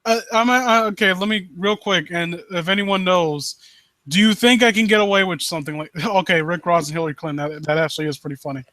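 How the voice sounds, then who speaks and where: A man's voice: high-pitched, fast, bright, forceful tone, dry recording. A man hosting a live stream in casual chat, blunt and given to swearing. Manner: blunt, profane. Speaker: host of a live critique stream.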